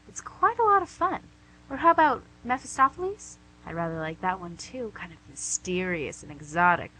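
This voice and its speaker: A woman's voice: medium pitch, pushed and bright. An actress playing the Devil as a playful, charming, smug female boss in a comic radio play, chatty and theatrical. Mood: playful, vain. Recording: noisy amateur recording with hum.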